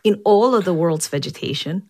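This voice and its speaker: A woman scientist speaking as an interview guest, explaining in a measured way and stressing key points. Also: fairly low pitch, dark tone.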